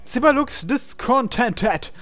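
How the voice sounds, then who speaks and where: A man's voice: very high voice, lively intonation, takes it slowly, a bit bright, faint music behind. A man reading a visual novel aloud as a gamer, breaking into casual, incredulous asides. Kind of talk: game playthrough narration with casual asides.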